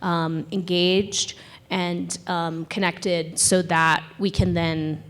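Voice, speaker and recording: Lower-voiced woman explaining on a panel. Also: flat delivery, slow. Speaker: panelist, ticketing company director. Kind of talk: conference panel talk.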